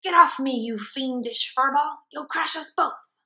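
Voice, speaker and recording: A woman's voice: sits high, measured pace, pushed and bright. A woman voicing a grandiose hamster supervillain from a comic storybook, declaiming pompously as a gloating evil genius. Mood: angry, defiant.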